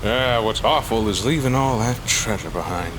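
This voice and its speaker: A man's voice: on the low side, normal speed, noisy background, lightly acted. A man acting as a roguish, exasperated treasure hunter in a fan audio drama, with a wry, grumbling manner. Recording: loud music under the voice.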